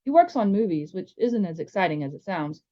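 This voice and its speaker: A woman's voice: on the low side, sing-song, a little fast, mellow. Woman voicing a chatty, cheeky young American boy. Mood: breezy, excited. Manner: rambling, enthusiastic, breezy. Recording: slightly dull, lo-fi sound.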